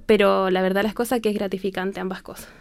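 Young female student guest, southern Chilean accent, clean smooth tone, dry close sound, casual rambling answers. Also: mid-range voice, flat delivery, quick pace, a little mellow, no room sound. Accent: southern Chilean Spanish. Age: young adult. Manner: informal, rambling, repeats phrases. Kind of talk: casual spoken interview.

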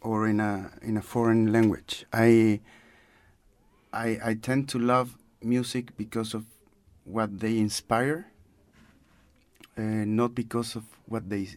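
Man, thoughtful and unhurried, dry close-miked read. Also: lowish voice, little pitch movement, a little mellow, dry recording. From Chile. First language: Spanish. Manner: thoughtful, searching for words in a second language. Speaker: guest. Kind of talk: casual conversational interview.

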